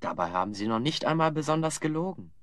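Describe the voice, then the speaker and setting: Measured, persuasive hero, dry recording. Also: male voice, fairly high pitch, sing-song, fairly bright tone, no echo. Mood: calm, persuasive. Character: clever, composed hero calmly negotiating. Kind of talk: German audio drama acting.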